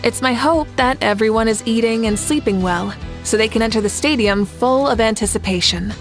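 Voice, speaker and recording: A woman playing a dignified, caring female leader in a video game, speaking in a measured, assured way. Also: a little high, natural voice. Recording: game music behind the voice.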